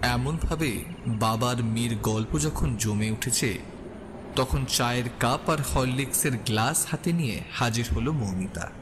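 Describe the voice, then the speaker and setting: A man's voice: mid-range voice, a little slow. A man narrating a scripted suspense audio story as the storyteller. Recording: long reverb, clear background ambience noise.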